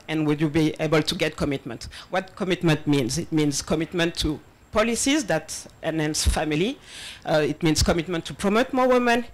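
A woman in her thirties, born in Senegal and raised in France, giving a conference talk into a handheld mic, a little nervous, with many ums. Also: low voice. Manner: nervous, laughing between points, many ums. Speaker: conference speaker on diversity hiring. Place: large event space, partly open-air, with audience. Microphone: handheld microphone.

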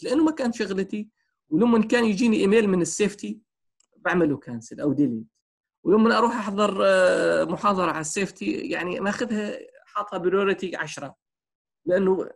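A man's voice: high voice, creaky, husky. A man lecturing on workplace safety over a video call, using personal examples and many fillers. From Syria. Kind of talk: online lecture, answering questions.

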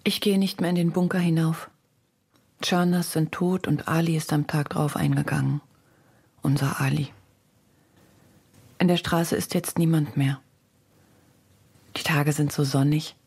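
A woman reading literary prose in a husky, breathy voice, restrained and sober. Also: low-pitched, steady tone, unhurried, long pauses, darkish tone.

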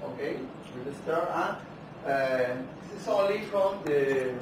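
A man with a Spanish accent giving a conference keynote in a large lecture hall without a microphone, speaking haltingly with many fillers and restarts. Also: fairly high pitch, animated. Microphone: far camera, no microphone. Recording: echoey hall from far off, noisy.

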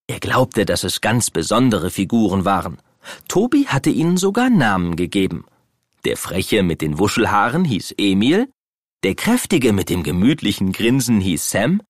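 A man narrating a children's audio play as an expressive, dramatic storyteller. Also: on the low side, no room sound, clean studio sound.

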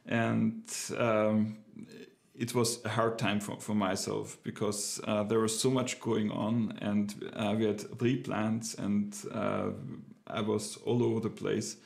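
Man with a gravelly voice, halting speech, home office, desk camera. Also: on the low side, little pitch movement. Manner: hesitant, many fillers and restarts. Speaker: business owner reviewing a book. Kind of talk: casual unscripted talk to camera. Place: home office with bookshelves, indoors.

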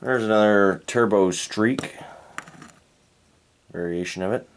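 A man from Canada reviewing toy cars in a relaxed, unhurried way, thinking aloud and correcting himself as he goes. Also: lowish voice, noisy background. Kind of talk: unscripted hands-on toy review. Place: indoors at a tabletop diorama.

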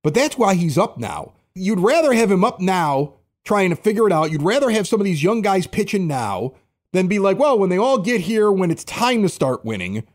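Fired up guy ranting on a podcast, highish voice jumping all over. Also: sing-song, moderate pace. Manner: fired up, defensive, emphatic. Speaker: podcast host. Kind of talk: heated podcast rant.

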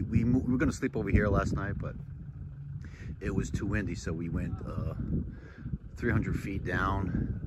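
Brief: man, 40s, very low voice, halting selfie-vlog delivery, wind noise on the track. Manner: halting, many uh fillers and restarts. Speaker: vlogger. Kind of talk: casual travel vlog. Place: outdoors on a desert lookout. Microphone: phone selfie at arm's length.

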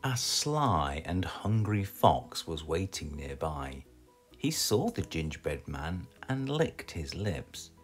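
A British man reading a children's storybook aloud in an expressive, scripted storytelling style. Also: deep voice, takes it slowly, soft background music. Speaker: storybook reader.

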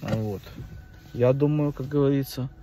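A man's voice: mid-range voice, unhurried, warm tone, faint music behind. Rambling preachy man filming himself in a car. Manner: rambling, preachy, many filler "вот". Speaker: vlogger. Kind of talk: casual monologue to camera. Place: inside a car at night. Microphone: phone held at arm's length. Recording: phone mic, distant, faint car noise.

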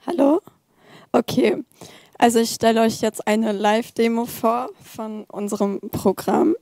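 A hoarse teenage girl giving a halting live demo on a handheld mic at a youth event. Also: on the high side, dark tone, no room sound. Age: teens. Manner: hesitant, many fillers. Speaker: student presenter. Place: event hall, audience present.

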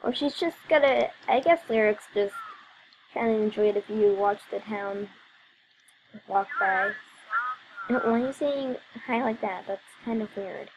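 A woman's voice: medium pitch, unhurried, background noise. A young woman casually narrating a life-sim game as host, chatty and giggly with frequent ums and asides. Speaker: let's play host. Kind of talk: casual gameplay commentary.

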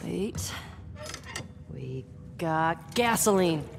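Low, gravelly young American survivor. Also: female voice, animated, drawn-out words, background noise, full character voice. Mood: wary, dry. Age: young adult. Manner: terse, understated, blunt. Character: guarded, tough young woman survivor in post-apocalypse. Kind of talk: game cutscene acting, naturalistic.

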